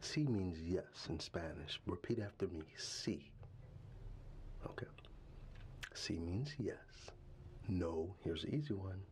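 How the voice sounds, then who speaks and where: Man, very low voice, soft fatherly ASMR whisper, unhurried and halting, close-miked over constant ambient hiss. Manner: soft, half-whispered and tender, with halting restarts. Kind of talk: ASMR roleplay. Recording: steady ambient background noise.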